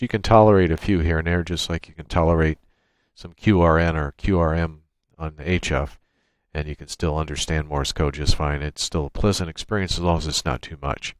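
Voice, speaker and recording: Male tech tutorial narrator, deep register, plain practical delivery, unscripted walkthrough, dry room sound. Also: fairly even intonation, a little fast, darkish tone, dry recording. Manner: matter-of-fact, step-by-step explaining. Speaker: tutorial presenter. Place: indoors at a computer, screen-recording voice-over.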